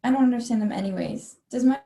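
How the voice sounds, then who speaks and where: A woman's voice: on the high side, fast talker, creaky, mellow. A teenage girl playing an anxious, muddled performer in a stage comedy, heard on a roomy, distant call microphone. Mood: anxious, confused. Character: anxious, muddled actor struggling with lines.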